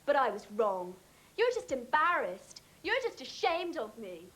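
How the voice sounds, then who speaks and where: A young actress playing a bold, hurt daughter in a wheelchair who confronts her father in sharp, reproachful words. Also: high-pitched, big pitch swings, a little slow, slight background noise, heavily acted, rough recording. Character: defiant young girl in a wheelchair.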